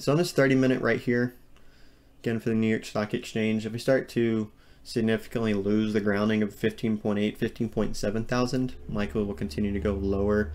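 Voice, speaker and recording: Guy going over crypto charts, lowish flat voice, lots of ums, keyboard clacking behind. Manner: rambling, hedging, many ums and restarts. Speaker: crypto market analyst. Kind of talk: screen-share chart analysis.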